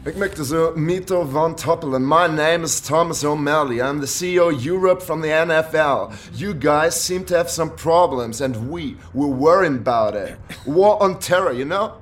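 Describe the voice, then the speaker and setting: An actor playing a quick-witted male private investigator who talks his way in, in a radio crime drama. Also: a little high, natural voice. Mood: brash, impatient. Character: scruffy private investigator bluffing as an American executive, quick-witted.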